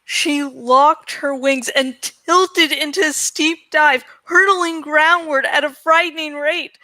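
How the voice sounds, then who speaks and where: A woman with a very high, bright voice hosts a reading of a novel. She takes her time, going between dramatic narration and casual chat, and the recording is dry and close. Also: fairly even intonation, slow, no echo. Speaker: host reading a novel aloud. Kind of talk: dramatic audiobook-style reading with casual asides.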